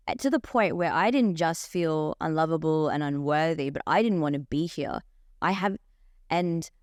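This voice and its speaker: A woman's voice: on the low side, smooth, no echo, studio quality. A young woman, a coach telling her story openly in a podcast interview. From Australia. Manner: open, animated storytelling. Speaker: life coach guest.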